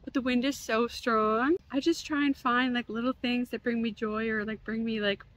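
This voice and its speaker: A young woman chats to her viewers in a relaxed, rambling way, often filling pauses with "like". Her voice sits a touch higher than most, and she sounds easygoing. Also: a little hiss or hum. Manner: relaxed, chatty, heavy use of "like". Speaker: lifestyle vlogger. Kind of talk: casual vlog narration. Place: outdoors in strong wind, then at home.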